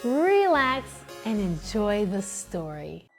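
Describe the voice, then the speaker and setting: A woman librarian reading a children's picture book aloud with animated, expressive storytelling. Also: mid-range voice, slow pace, soft background music. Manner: animated, expressive, sing-song narration.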